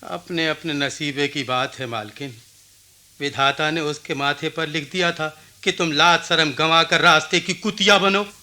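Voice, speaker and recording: A man's voice: a little high, steady tone, unhurried, sharp tone. A man playing a proud, wounded village oil-seller in his forties, speaking emphatically in a Hindi radio drama. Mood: rueful, resigned.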